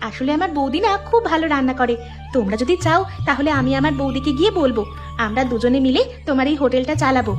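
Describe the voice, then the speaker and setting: Casting: female actor as caring cartoon schoolgirl, very high voice, earnest, pleading, music underneath. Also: fast, natural voice. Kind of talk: cartoon voice acting.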